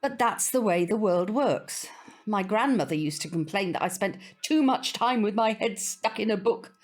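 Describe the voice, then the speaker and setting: A woman's voice: medium pitch, expressive, normal speed, faint music behind, lightly acted. A woman playing a prim, old-fashioned older British grandmother, opinionated and wistful, in an audio drama. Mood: wistful, resigned. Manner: prim, proper, hesitant around awkward subjects.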